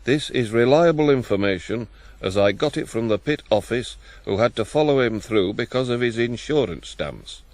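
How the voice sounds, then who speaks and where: An actor in a 1980s radio drama playing a businesslike letter writer, reading in a stiff, formal way. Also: male voice, mid-range voice, expressive, normal speed, hardly any pauses, a little mellow, no echo, natural voice.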